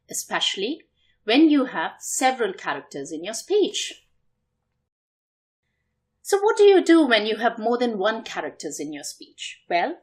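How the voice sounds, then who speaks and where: Expressive, high-pitched woman coaching. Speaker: public speaking coach. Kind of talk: instructional talk to viewers.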